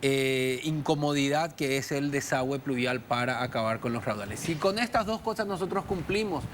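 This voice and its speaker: A man speaking as a guest on a studio panel discussion, in measured phrases with fillers. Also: medium pitch, slight background noise.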